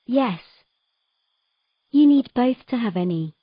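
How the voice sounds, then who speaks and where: A woman's voice: on the high side, expressive, slow, no room sound, dull audio. Woman playing an earnest, troubled young mermaid. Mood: wistful storytelling. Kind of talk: romantic fantasy audio drama acting.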